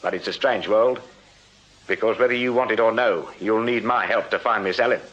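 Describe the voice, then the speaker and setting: An actor playing a shrewd Victorian police sergeant in a radio drama, speaking formally and with insistent authority. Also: male voice, on the low side, a little fast, a bit bright, muffled recording, lightly acted. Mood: cool, dry. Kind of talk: full-cast radio drama acting.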